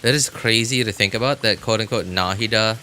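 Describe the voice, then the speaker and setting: A man's voice: lowish voice, a little fast, pushed and bright, a little hiss or hum. A man doing casual live game commentary for his viewers, rambling and repeating himself as he thinks aloud. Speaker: game livestreamer.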